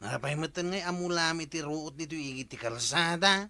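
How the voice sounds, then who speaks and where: A reproachful male villager with an Ilocano accent. He questions insistently in a bright, slightly high voice, in the manner of a radio comedy drama. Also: fairly quick, dry recording. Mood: questioning. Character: nosy, reproachful village man confronting his neighbour.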